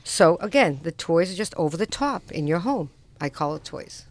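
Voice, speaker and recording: A woman's voice: lowish voice, lively intonation, normal speed. Chatty teasing woman radio host. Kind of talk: casual radio talk.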